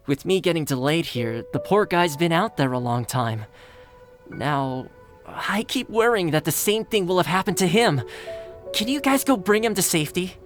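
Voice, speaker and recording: A man's voice: on the high side, a bit bright. A man voicing a worried, friendly young delivery man in a video game, chatty and earnest. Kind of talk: anime video game voice acting. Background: game music.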